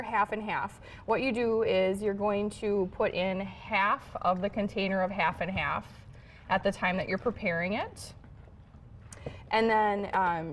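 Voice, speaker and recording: Woman demonstrating cooking, faint background noise. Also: medium pitch. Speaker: home cook guest on a TV show. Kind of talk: casual cooking demonstration and chat. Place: studio kitchen set. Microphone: lapel mic.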